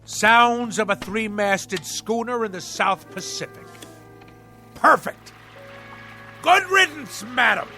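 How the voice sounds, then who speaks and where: Pompous, bright-voiced blustering husband. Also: male voice, sits high, animated, takes it slowly, pauses often, soft background music, full character voice. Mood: scornful. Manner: grandiose, declamatory, swinging from hushed to bellowing. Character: pompous, blustering nautical-obsessed husband, middle-aged model-ship tycoon. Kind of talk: theatrical radio drama acting.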